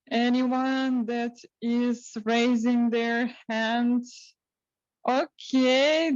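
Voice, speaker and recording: A woman hosting an impromptu speaking session, haltingly putting repeated questions to the audience. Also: a little high, no room sound. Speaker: table topics host at a speaking club. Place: club meeting with online participants. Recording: dull, muffled lo-fi sound.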